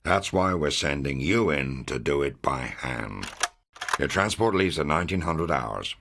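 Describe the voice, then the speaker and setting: A man playing a commanding, confident American intelligence officer, delivering a measured, authoritative mission briefing in a video game. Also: a bass voice, lively intonation, noisy background, full character voice. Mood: grave, businesslike. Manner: authoritative, measured, matter-of-fact. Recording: music under the voice. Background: background music.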